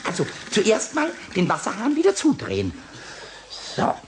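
A man playing a small, cheeky, childlike kobold in a radio play, with a squeaky cackling voice, stammered repetitions. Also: wildly swooping pitch, moderate pace, gravelly, heavily acted. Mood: busy, gleeful. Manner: stammering repetitions, childish exclamations, sing-song rhymes. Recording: noisy old radio recording.

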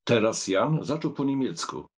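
A man's voice: mid-range voice, big pitch swings, moderate pace, breathy edge. A man reading literary narration expressively as an audiobook narrator.

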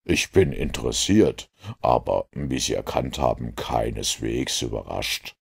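A cool, unruffled detective from an audiobook, acted out by a male reader. The voice is very low and husky, with dry, deliberate delivery and total self-assurance. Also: lively intonation, exaggerated voice. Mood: cool, composed.

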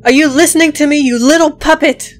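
A woman's voice: high voice, steady tone, a little fast, soft background music, natural voice. A woman playing a devoted, wounded but defiant inventor in a dramatic comic dub, speaking earnestly with emotional weight. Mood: angry, defiant.

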